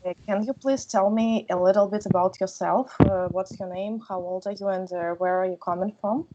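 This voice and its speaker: A woman interviewing a guest on a podcast, speaking haltingly with frequent "uh" fillers. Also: mid-range voice, fairly even intonation. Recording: dull lo-fi recording with clear noise.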